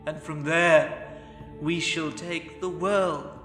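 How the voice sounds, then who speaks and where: A man voicing an arrogant dark elf conqueror in a fantasy story, grandiose and contemptuous. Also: on the high side, fairly even intonation, slow, soft background music. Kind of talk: fantasy audiobook character acting. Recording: echoey hall reverb.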